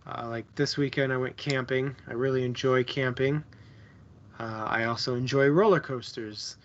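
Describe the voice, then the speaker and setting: A man co-hosting a casual book chat, thinking aloud in short, halting phrases. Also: mid-range voice, fairly even intonation, lo-fi sound. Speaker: book club show co-host.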